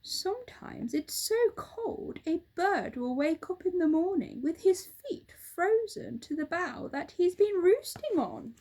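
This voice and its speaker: A cheerful storybook bird, acted out by a woman. The voice is high and lively in pitch. It explains things confidently and reassures like a helpful friend. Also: slow, hardly any pauses, full character voice. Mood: reassuring, chatty.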